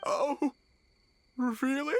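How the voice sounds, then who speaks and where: A woman's voice: high voice, fairly even intonation, drawn-out words. A woman voicing a dramatic, childlike little tanuki yokai in a video game, exclaiming melodramatically. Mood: wondering.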